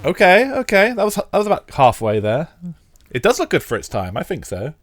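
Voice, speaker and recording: A man's voice: high-pitched, wildly swooping pitch, fairly quick, faint music behind. A man with a stuffy head cold, chatting casually to live viewers while playing an old racing game. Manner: rambling, chatty, talks to chat viewers. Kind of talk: casual live-stream commentary.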